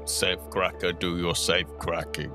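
A man voicing a solemn fantasy adventurer who talks in a stilted, formal, measured way in a tabletop game. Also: low-pitched, little pitch movement, over music. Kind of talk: tabletop roleplay.

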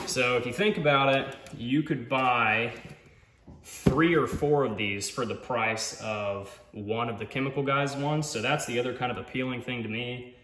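A man giving a casual hands-on tool review, explaining informally and thinking aloud as he goes, recorded at a garage workbench. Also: medium pitch. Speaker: product reviewer, hobbyist car detailer. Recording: roomy echo, clear background noise, clicks.

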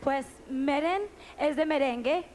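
A woman's voice: high-pitched, slow, a little mellow. A woman from Colombia, a dancer in a group, explaining playfully in a stage interview. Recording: old VHS tape hiss.

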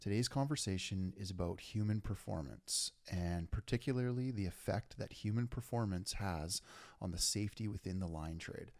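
A man's voice: lowish voice, normal speed, hardly any pauses, a little mellow, no room sound, studio quality. A man hosting a podcast, talking earnestly and enthusiastically with plenty of fillers and restarts. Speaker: podcast host. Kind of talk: casual podcast intro and interview.

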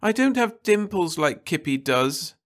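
A man's voice: high voice, very animated, a little slow, a bit bright, pitched above the performer's own voice, heavily acted. A man voicing a sulky, whiny nineteen-year-old girl who wails and pleads. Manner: petulant, wailing.

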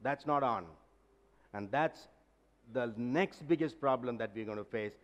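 A middle-aged man speaks at a conference. He talks from a big stage in a direct, emphatic way, and his voice rings with a long hall reverb at an ordinary pitch. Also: a little slow, highs cut off. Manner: direct, emphatic, gesturing while talking. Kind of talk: conference talk and Q&A.

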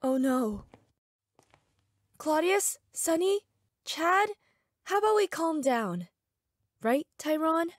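A woman's voice: high-pitched, big pitch swings, takes it slowly, pauses often, dry recording, clean studio sound, heavily acted. A woman playing an anxious, peacemaking young female student in an audio drama.

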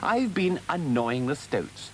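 Man voicing an eager, high-pitched little mole. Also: sing-song, slow, slight background noise, low-quality recording. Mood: eager, pleased. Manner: enthusiastic, pleased with himself. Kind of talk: animal storybook character voice.

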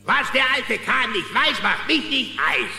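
Shrill, bossy cartoon sheriff. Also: male voice, sing-song, measured pace, gravelly, pushed and bright, heavily acted. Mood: sly, smug. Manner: pompous, domineering. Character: bossy, greedy small-town sheriff who plays judge. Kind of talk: children's cartoon radio play acting. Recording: echoey hall reverb, faint music.

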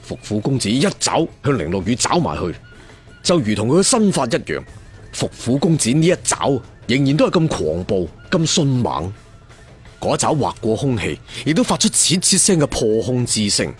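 Man, dramatic audiobook storyteller, very swooping pitch, rough husky tone, theatrical. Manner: theatrical, traditional storyteller phrasing with rhetorical asides.

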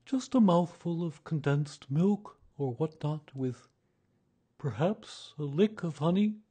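A man voicing a small, humble, slow-witted bear from a children's storybook, speaking simply and earnestly. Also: high-pitched, expressive, dark tone, voice pushed up. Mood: dreamy, hungry.

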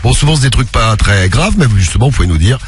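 A man's voice: medium pitch, animated, fast. A man hosting a late-night call-in radio show, chatting and teasing callers in a jokey way. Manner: jokey, teasing, puts on a mock Quebec accent. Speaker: radio host.

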